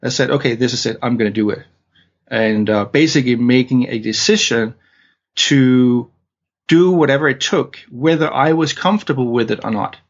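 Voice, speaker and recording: A man's voice: mid-range voice, moderate pace. A man from Denmark with a Danish accent, telling his story as a guest in an interview, hesitant with many fillers and restarts. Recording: dull lo-fi audio.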